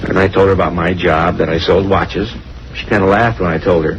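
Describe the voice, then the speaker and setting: A man's voice: low voice, fairly even intonation, brisk. An actor playing a nervous, self-justifying American married salesman in a 1950s radio crime drama, rambling colloquially and defensively. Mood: explaining. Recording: dull, noisy old radio recording.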